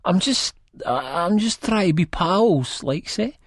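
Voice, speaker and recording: A man's voice: high voice, lively intonation, takes it slowly, dry recording, clean studio sound, pitched above the performer's own voice, exaggerated voice. A man playing a wasted, nervous young Scottish man in an audiobook, slurring, stammering and pleading.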